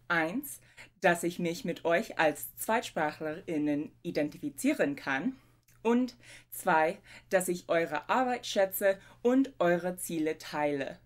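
Young woman, careful tutor. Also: fairly low pitch. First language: English. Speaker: language tutor. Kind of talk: scripted self-introduction to camera. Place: home room with bookshelves.

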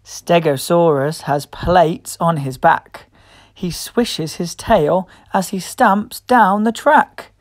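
A woman reading a picture book aloud to young children as host, expressive, playful and encouraging. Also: on the low side, takes it slowly, dry recording, studio quality. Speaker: children's storybook reader and host.

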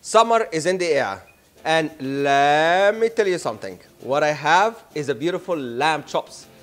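Upbeat male chef, somewhat high voice. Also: animated, unhurried, fairly bright tone. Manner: upbeat and playful, talks straight to camera. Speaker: chef host. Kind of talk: cooking show presentation. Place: home-style studio kitchen, indoors.

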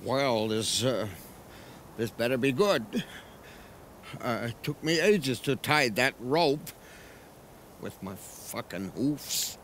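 An actor playing a grumpy, sarcastic male sheep who grumbles and complains in an animated film. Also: mid-range voice, takes it slowly, pauses often, slight background noise. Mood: uneasy. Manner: sarcastic, grumbling, then panicked shouting.

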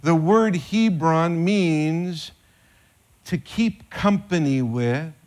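Slow-talking middle-aged man preaching. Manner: animated, gesturing preacher, rises in pitch when excited. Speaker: pastor. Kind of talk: sermon. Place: church stage with band instruments.

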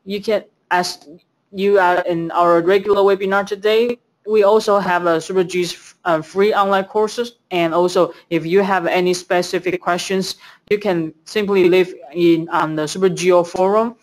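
A woman's voice: on the low side, steady tone, normal speed. Woman host, presenting webinar slides steadily. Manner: reads lists from slides, some fillers.

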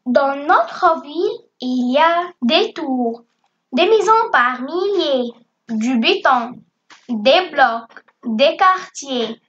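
A woman reciting a poem for primary school children in deliberate, short, measured phrases. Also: high-pitched, expressive, a bit bright. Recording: dull, lo-fi sound.

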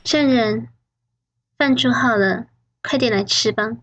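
A woman's voice: on the high side, a little slow, a bit bright, a little hiss or hum. A woman playing a sly, menacing mistress of a house in an audio drama, mocking and commanding in a mock-sweet way. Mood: composed, commanding. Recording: background music under the voice.

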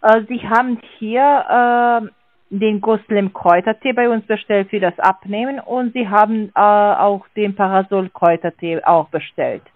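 A woman's voice: medium pitch, steady tone, unhurried, no room sound. A woman calling as a sales agent over the phone, talking hesitantly with many filler sounds and non-native grammar. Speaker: sales caller. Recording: dry tinny phone line.

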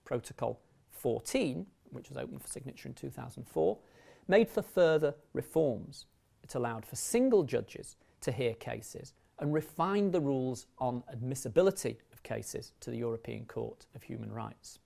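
A man in his thirties giving a formal, measured academic lecture as a university law lecturer, speaking into a lapel mic. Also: medium pitch, lively intonation, vocal fry, warm tone. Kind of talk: academic lecture, partly read from notes. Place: indoor lecture recording room, at a lectern.

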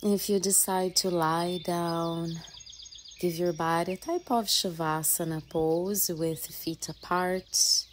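A woman with a low voice guides a relaxation practice as a mindfulness teacher. She speaks extremely slowly and gently, leaving long pauses between short phrases that trail into soft breath. Faint birdsong and nature ambience sit behind her voice. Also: steady tone, slight background noise. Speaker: yoga and mindfulness teacher leading a guided meditation. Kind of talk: guided meditation.

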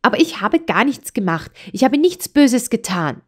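A woman's voice: high-pitched, big pitch swings, normal speed, darkish tone, voice pushed up. A woman playing a breathless, indignant young girl. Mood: upset. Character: spirited young mountain girl, proud and stubborn.

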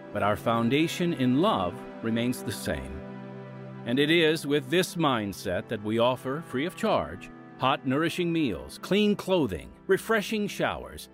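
A man announcing and hosting a scripted radio drama programme in a dramatic, measured manner. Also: medium pitch. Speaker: announcer and host. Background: music.

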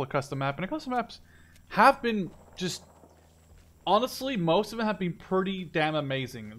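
Rambling man with a highish voice, gaming. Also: a bit bright. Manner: rambling, informal, mild swearing. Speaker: gamer and video host. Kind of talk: casual gameplay commentary.

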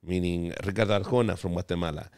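A man's voice: sits low, fast, a little mellow, smooth, dry recording, clean studio sound. A man hosting a casual podcast chat, loose and profane, calling his guest bro. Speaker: podcast host and comedian.

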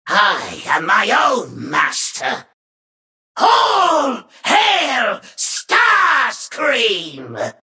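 An actor playing a treacherous, power-hungry robot warrior in a video game, pompous and theatrical, with a shrill, raspy screech. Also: male voice, sharp tone, roomy sound, exaggerated voice. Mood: boastful. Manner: pompous, grandiose, declamatory.

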